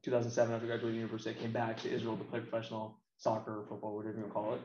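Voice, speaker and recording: A man's voice: lowish voice, completely flat delivery, rapid-fire, a little mellow, a little hiss or hum. Blunt American man in a roomy echo. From United States. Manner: blunt, colloquial. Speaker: interview guest, games marketing professional. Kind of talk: casual opinionated chat. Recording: roomy echo, slightly dull sound.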